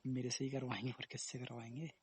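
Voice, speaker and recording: A man's voice: medium pitch, little pitch movement, fast talker, breathy edge, no echo, natural voice. A young man playing a teasing, evasive boyfriend in a casual phone-call romance drama. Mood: calm, teasing. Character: teasing young boyfriend dodging blame over a pregnancy scare.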